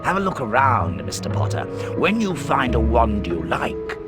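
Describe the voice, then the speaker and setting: An actor playing an eccentric, courteous old wandmaker in a video game, speaking in a deliberate, theatrical, musing way. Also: male voice, mid-range voice, wildly swooping pitch, over loud music. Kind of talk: scripted video game character acting.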